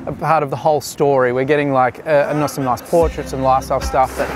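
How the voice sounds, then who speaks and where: A man in his thirties directing a beach photo shoot, relaxed and chatty, with many restarts and fillers, recorded outdoors at the shore. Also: mid-range voice, fast talker, background noise. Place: open sandy beach at sunset, outdoors. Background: music.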